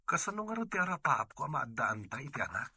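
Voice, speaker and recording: A man playing a frustrated, dutiful husband longing for children in an audio drama, earnest and complaining, with an Ilocano accent and a husky voice. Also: on the high side, big pitch swings, quick pace, bright, forceful tone, no echo, clean studio sound, full character voice. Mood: pleading, frustrated.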